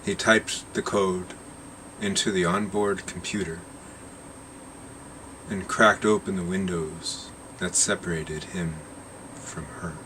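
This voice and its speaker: Deep-voiced man slowly reading a story. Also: fairly even intonation, background noise. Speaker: narrator reading his own short story. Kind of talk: short story read aloud.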